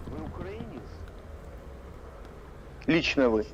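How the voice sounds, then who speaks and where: Brief: man, somewhat high voice, sarcastic and needling, coming through dull lo-fi call audio. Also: sing-song, slow pace, husky. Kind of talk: heated argument.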